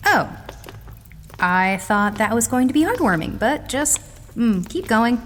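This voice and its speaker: Righteous young woman, high and very animated, eager, outspoken, audio drama. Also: measured pace. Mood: softened. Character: excitable, righteous young female animal-lover, Josephine. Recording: echoey hall with some noise.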